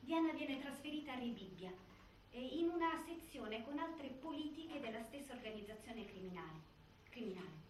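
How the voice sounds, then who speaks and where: A woman's voice: a little high, animated, normal speed. A woman narrating a scripted documentary theatre piece in a very echoey hall. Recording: very echoey hall, distant, faint noise.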